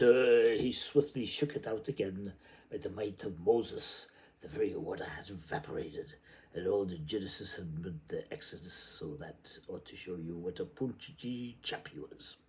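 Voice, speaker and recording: A man giving a theatrical, halting reading of literary prose, repeating words and shifting between murmurs and sudden emphasis. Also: low-pitched, darkish tone. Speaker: reader. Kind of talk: dramatic amateur literary reading aloud.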